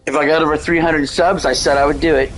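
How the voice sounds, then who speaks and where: A man chatting casually on a live stream in a relaxed, easygoing way, sometimes swearing. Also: a little high, fast, a crowd in the background, rough recording. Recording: game music playing behind.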